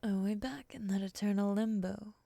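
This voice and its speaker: A woman playing a cool, skeptical moth monster woman, breathy and half-whispered, sardonic and condescending, in an ASMR roleplay. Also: medium pitch, steady tone, normal speed, dark tone, no room sound, natural voice. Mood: wary, thoughtful.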